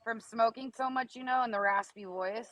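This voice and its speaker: A woman in her thirties with a raspy voice, asking probing, somewhat hesitant questions in a live video chat. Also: a little high, normal speed, a bit bright, no echo. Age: 30s.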